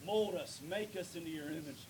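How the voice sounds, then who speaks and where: A man preaching a sermon in church, reading scripture, praying and building to loud emphatic points with drawn-out words. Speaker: pastor. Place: church sanctuary. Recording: noisy with low rumble.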